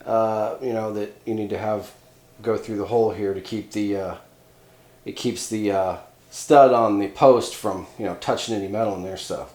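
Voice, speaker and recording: A man's voice: lowish voice, fairly even intonation, normal speed, darkish tone. A man narrating a hands-on DIY job casually, thinking aloud in a folksy, informal way. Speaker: DIY electronics video host. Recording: roomy echo, clear background noise, clicks.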